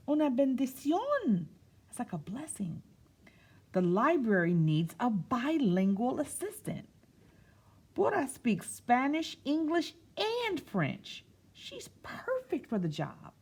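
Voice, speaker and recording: Lady doing story time for kids, husky n a bit gravelly, swoops up and down, stops to explain stuff softly. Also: sits high. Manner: expressive, stretches key words and pauses to explain. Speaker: storyteller reading a picture book to children. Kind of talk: read-aloud story time with explanatory asides.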